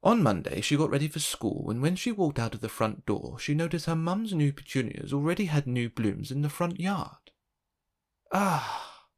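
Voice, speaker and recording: A man narrating a children's picture book as an expressive read-aloud. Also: on the high side, normal speed, hardly any pauses.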